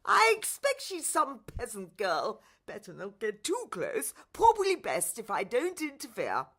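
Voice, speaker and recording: A woman's voice: on the high side, huge pitch swings, a little slow, a bit bright, exaggerated voice. A woman voicing a smug, snobbish young prince in a children's radio play, pompous and aloof.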